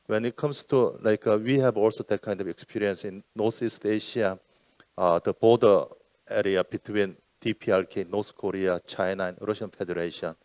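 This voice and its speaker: A man with a lowish voice gives panel remarks over a video call, stopping often to reword himself. The sound is dry and close, with no room around it. He speaks at an even, deliberate pace. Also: no room sound. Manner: halting, many fillers and self-corrections. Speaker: UN environmental program officer.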